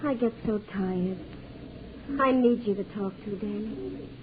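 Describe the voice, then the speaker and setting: An actress playing a tired, tender-hearted eighteen-year-old woman who speaks softly and earnestly, in a 1940s radio drama. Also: mid-range voice, unhurried, phone-quality sound, very noisy, natural voice. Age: young adult. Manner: soft, earnest, pleading.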